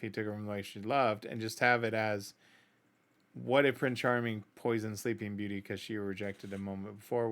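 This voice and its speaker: A middle-aged man hosting a music reaction video, talking casually and conversationally, giving opinions and often checking in with the listener. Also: fairly low pitch. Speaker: host of a music reaction channel.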